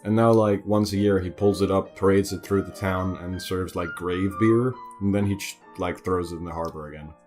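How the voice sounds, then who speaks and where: A man's voice: low-pitched, steady tone, normal speed, hardly any pauses, over music. A man giving casual, joking game commentary in a wry, sarcastic manner. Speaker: let's player, co-commentator.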